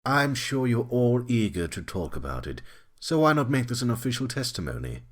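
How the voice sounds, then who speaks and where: A man's voice: mid-range voice, very animated, normal speed. A man playing a stern, impatient judge who speaks with scolding authority in an audio drama. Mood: measured. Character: stern, commanding male judge, short of patience. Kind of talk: amateur audio drama acting.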